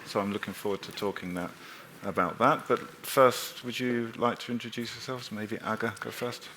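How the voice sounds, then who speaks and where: A man's voice: fairly low pitch, fairly even intonation, normal speed, bright, forceful tone, slight background noise. Polite, hesitant male panel moderator. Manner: hesitant, many fillers, polite and inviting. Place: conference stage in a hall.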